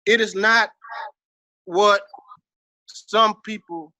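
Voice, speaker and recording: A man with a high, forceful voice and a Texas drawl speaks casually as an interview guest. He is emphatic, salty and slangy, and the sound is close and dry. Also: steady tone, drawn-out words, dry recording, rough recording. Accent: Southern US, Texas. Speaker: interview guest, hip-hop veteran.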